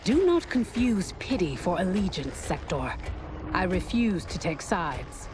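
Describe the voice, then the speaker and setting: A woman's voice: lowish voice, sing-song, a little slow, heavy background noise. A woman playing a proud, defiant, serious warrior in a video game cutscene. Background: loud cinematic music.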